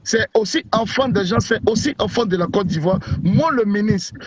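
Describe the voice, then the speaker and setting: Guest, male, high voice, blunt and repetitive, lo-fi noisy call line. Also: moderate pace, breathy edge. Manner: emphatic, repetitive, outspoken. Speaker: interview guest, blogger. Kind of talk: casual interview by call. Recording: dull lo-fi call audio with noise.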